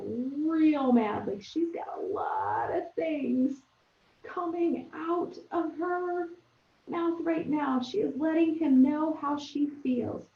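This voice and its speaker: A woman teacher thinking aloud for young pupils in a conversational, expressive read-aloud lesson. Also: sits high, slow. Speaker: elementary teacher. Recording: roomy, echoing, dull lo-fi sound.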